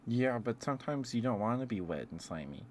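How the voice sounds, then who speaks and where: A man's voice: mid-range voice, sing-song, brisk, a little mellow, a little hiss or hum. A man streaming casual, rambling ASMR chat, musing aloud hesitantly and letting thoughts trail off.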